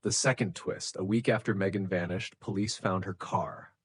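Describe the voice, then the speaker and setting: A man narrating a scripted true crime story in a measured, suspenseful way, with short deliberate sentences. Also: low voice, fairly even intonation, slightly rough, no room sound. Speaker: narrator of true crime stories for English learners.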